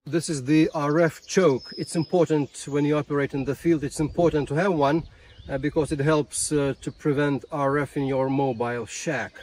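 A man gives a casual demonstration to camera in a halting way, repeating words, recorded outdoors in a field. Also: a little high, steady tone, a little mellow. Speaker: ham radio vlogger. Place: outdoors in a grassy field beside a van.

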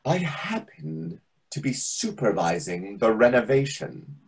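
Guy playing a huffy sarcastic waiter, super swoopy pitch, bit of a rasp, catty, stage comedy read on a video call. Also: very animated, unhurried, exaggerated voice. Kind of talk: readers theatre comedy acting.

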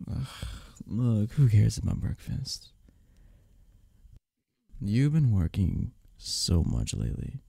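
A man playing a sleepy, affectionate, playful husband in bed, speaking softly and teasingly in an intimate ASMR roleplay, close to the mic. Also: fairly low pitch, creaky, breathy edge, no room sound, exaggerated voice. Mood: pleading, drowsy. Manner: soft, drowsy, teasing, unhurried. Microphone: close, dry microphone.

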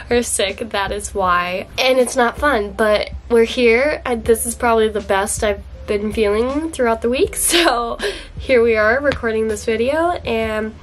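A woman's voice: fairly high pitch, unhurried, a little hiss or hum. A young woman book vlogger chatting to camera in a dim indoor room, congested from a cold, gushing and chatty with fillers. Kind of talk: casual chat to camera.

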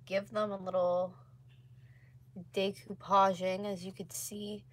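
A woman in her twenties with a slightly hoarse voice, chatting casually as she livestreams crafts from home. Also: mid-range voice, flat delivery, slow pace. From North America. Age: 20s. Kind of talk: casual chat while painting. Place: small room at home, indoors. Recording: clearly noisy home livestream recording.

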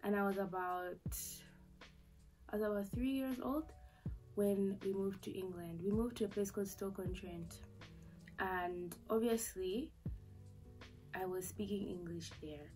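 A woman's voice: mid-range voice, a little slow, pauses often, poor audio. Woman, Zambian accent, twenties, casual vlog storytelling, bedroom, faint music underneath. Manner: chatty and candid, lots of fillers and asides. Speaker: vlogger. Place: small bedroom indoors. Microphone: camera in front at desk distance.

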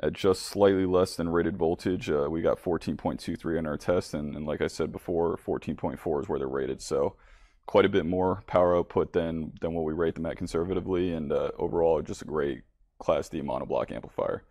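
Male presenter, low-pitched, informal demo narration, speaks straight to the audience. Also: fairly quick, a little mellow, no room sound, clean studio sound. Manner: informal, addressing viewers directly. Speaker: product test presenter. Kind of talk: casual product demo narration.

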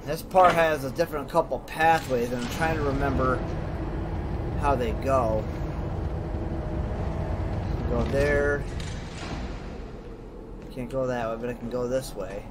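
A man with a mid-pitched voice gives casual, informal gameplay commentary. He mutters to himself in short phrases as he thinks aloud. The recording is clearly noisy, with game ambience and rumble behind the voice. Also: steady tone, slow, pauses often. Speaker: gamer, let's play host. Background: horror game ambience and machinery rumble.

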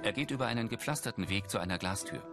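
A man delivers scripted audio description of a screen drama. His pitch is low and his intonation moves a lot. Music plays clearly behind him. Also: lively intonation, rapid-fire.

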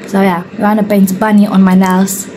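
A woman's voice: mid-range voice, level tone, a little fast, background noise. A young woman vlogging in a casual, joking way, talking to a handheld phone in a small indoor salon. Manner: chatty, joking, repeats filler words. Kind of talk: casual vlog chat to camera. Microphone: phone held at arm's length.

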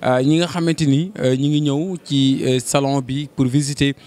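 A man's voice: mid-range voice, fairly even intonation, normal speed, a little mellow. A young man with a Senegalese accent, hosting a trade fair interview with a handheld mic, promotional and full of hesitant fillers. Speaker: reporter and host. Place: exhibition stand indoors.

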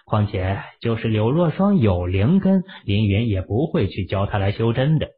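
A man narrating an audiobook drama in a lively storytelling manner. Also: fairly low pitch, moderate pace, husky. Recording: muffled phone-like audio.

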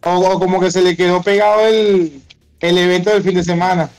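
A man's voice: sits high, steady tone, fairly quick, darkish tone. A man with a Venezuelan accent chatting casually as he games, full of slang and swearing. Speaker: gamer streaming an online role-playing game. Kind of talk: casual gaming chat.

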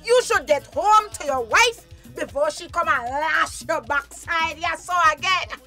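Brassy, high-pitched Belizean bar woman. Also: a little slow, pushed and bright, faint music behind, exaggerated voice. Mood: teasing, brassy. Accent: Belizean Creole. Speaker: radio drama actor. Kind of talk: radio drama acting.

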